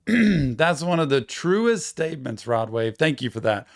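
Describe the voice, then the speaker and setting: Slightly hoarse man reacting to music. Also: on the high side, big pitch swings, measured pace, dry recording, clean studio sound. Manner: enthusiastic, repeats lyrics back and affirms with yeps. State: losing his voice. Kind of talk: casual music reaction commentary.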